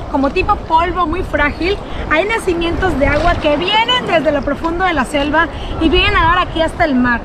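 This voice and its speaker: A young woman vlogging on a sunny beach and in the shallow sea, talking excitedly to her viewers through an action camera held at arm's length. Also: very high-pitched, noisy background. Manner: enthusiastic, addresses viewers as family. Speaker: travel vlogger. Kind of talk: upbeat travel vlog to camera.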